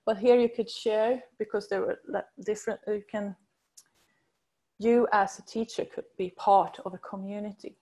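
A middle-aged woman with a Swedish accent giving a research presentation over video call, enthusiastic and halting as she searches for words. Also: medium pitch, fairly even intonation, dark tone. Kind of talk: conference presentation. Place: indoors at home or office, on video call.

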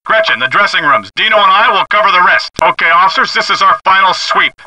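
A man's voice: high voice, fast talker, lightly acted. A man playing a commanding police officer giving orders in a video game, over some background noise. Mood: urgent, commanding. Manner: barking orders. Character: commanding male police officer leading a sweep.

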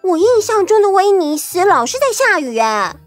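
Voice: extremely high pitch, very animated, moderate pace. A performer playing a feisty, blunt little detective's assistant in a children's audio story. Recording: music bed behind voice.

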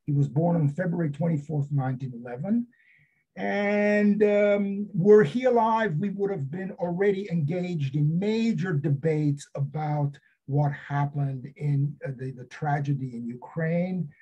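An older man from Romania giving a seminar talk about his memoir over a video call, pausing often with fillers. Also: fairly high pitch, mellow, husky. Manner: hesitant, many fillers. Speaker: seminar speaker, author. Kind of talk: academic talk. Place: home study with bookshelves. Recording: dull lo-fi video call audio.

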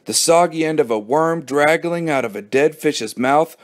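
A man's voice: mid-range voice, expressive, normal speed, darkish tone, lightly acted. A man voicing a queasy, brooding boy in a children's audiobook, weary and dramatic in his dread.

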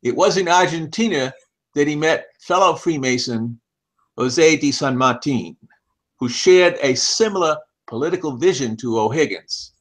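An older man in his seventies reads an article aloud as scripted narration, in measured, deliberate phrases. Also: mid-range voice. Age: older, about 70s. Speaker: reader of an article. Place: small home office, indoors. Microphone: headset.

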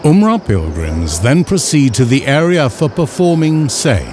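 A man reading scripted instructional narration in a measured, formal, carefully enunciated way. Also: mid-range voice, sing-song, background noise. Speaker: narrator of an educational film.

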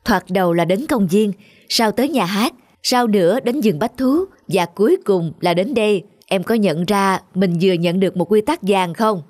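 A woman's voice: medium pitch, normal speed, a little mellow, natural voice. A woman voicing an encouraging middle-aged male teacher in a cartoon, instructive and reassuring. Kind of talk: animated moral-story dub.

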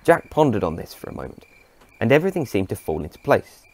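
A man's voice: on the low side, big pitch swings, quick pace, creaky, a little mellow, husky. A man narrating a scripted adventure story as its storyteller.

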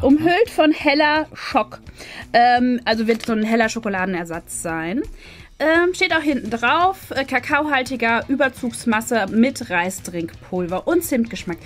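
A woman, hosting a casual, chatty product review. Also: fairly high pitch. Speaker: host of an unboxing video. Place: small decorated indoor room. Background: faint music.

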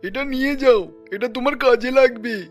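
A performer voicing a kind, reassuring middle-aged father in a cartoon story, speaking gently to a grown daughter. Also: extremely high pitch, moderate pace, soft background music. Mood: gentle, helpful. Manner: gentle, encouraging. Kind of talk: Bengali cartoon story dubbing.

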